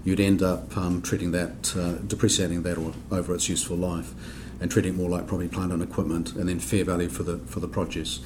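A man from New Zealand with a New Zealand accent, explaining accounting rules on a podcast in a measured way, with frequent ums and restarts. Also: deep voice, little pitch movement, background noise. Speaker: accounting standards board member. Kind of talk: podcast discussion.

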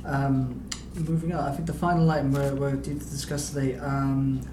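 A male podcast host with a mid-pitched voice briskly moves the discussion to the next topic. His voice carries a roomy echo, and clear background noise runs underneath. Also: flat delivery.

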